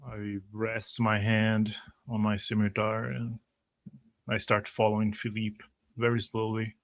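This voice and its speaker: A man with a very monotone, creaky voice takes part in a tabletop roleplay. He describes his character's moves as a player, sounding dry and close. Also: on the low side, unhurried, no room sound.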